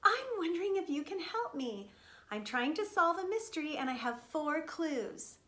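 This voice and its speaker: A woman voicing an eager, polite little country mouse, a curious boy with a bright, earnest, childlike manner, for a children's story. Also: high-pitched, sing-song, moderate pace, pitched above the performer's own voice. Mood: cheerful, eager.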